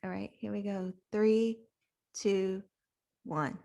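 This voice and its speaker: A woman's voice: medium pitch, slow pace, vocal fry, mellow. Woman podcast host, mid-range voice, casual but organized, explains stuff as she goes. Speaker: podcast co-host, active-duty service member. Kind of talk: casual chat and hosting.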